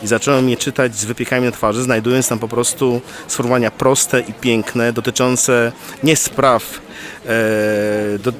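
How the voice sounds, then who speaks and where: Forties male, personal reflection, unhurried and thoughtful, middle voice. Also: mid-range voice, flat delivery, fairly bright tone. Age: 40s. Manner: thoughtful, searching for words.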